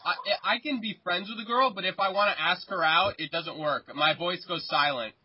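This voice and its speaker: A man's voice: sits high, a little fast, slight background noise. A nineteen-year-old man hosting a casual podcast chat with friends, often stammering and restarting his sentences. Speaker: podcast host. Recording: muffled lo-fi sound.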